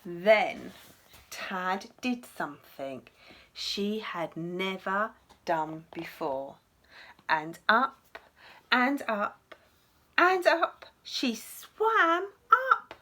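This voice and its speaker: A woman teacher reading a storybook aloud to young children in an expressive, dramatic way, with asides to the listeners. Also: medium pitch, extremely slow.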